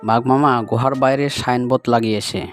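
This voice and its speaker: A man narrates a children's cartoon tale as a scripted storyteller. His voice is of medium pitch, and music plays clearly behind it. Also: steady tone, a little fast.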